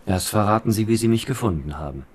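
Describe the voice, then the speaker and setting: An actor playing an academic, measured and guarded, in a radio drama. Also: male voice, on the low side, expressive, slightly rough.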